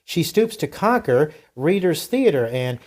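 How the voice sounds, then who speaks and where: A man's voice: on the high side, very animated, measured pace, a little mellow, dry recording. Man, American accent, podcast host, folksy, chatty, dry sound. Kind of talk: sponsor reads and conversational interviewing.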